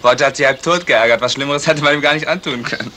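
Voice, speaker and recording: An actor playing a lazy, teasing young fiancé in a 1970s radio crime drama, with a flippant, mocking way of talking. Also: male voice, a little high, quick pace, lightly acted. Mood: mocking, amused. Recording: slightly noisy vintage radio recording.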